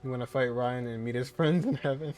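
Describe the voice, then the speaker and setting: A man's voice: mid-range voice, a little fast, darkish tone. Gameplay creator: male, mid-range voice, loose slangy reactions, faint music underneath. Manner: offhand, slangy. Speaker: gamer, video creator. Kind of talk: casual gameplay commentary. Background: game music.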